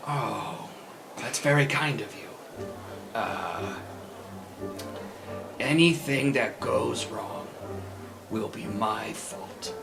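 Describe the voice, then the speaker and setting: A man's voice: lowish voice, very slow, creaky, long pauses, over music. A man speaking in character at a tabletop game, hesitant and full of fillers. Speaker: player. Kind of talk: casual in-character roleplay.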